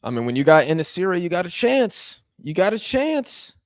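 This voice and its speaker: Hoarse, excitable man calling a live match. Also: high voice, moderate pace, no room sound, studio quality. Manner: excitable, shouts at big chances. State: hoarse, losing voice. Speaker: watch-along host. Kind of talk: live match watch-along commentary.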